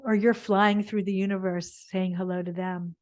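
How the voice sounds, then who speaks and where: A woman teaching gentle yoga, leading an unscripted spiritual body scan in a meandering, halting way as she searches for words. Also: medium pitch, level tone.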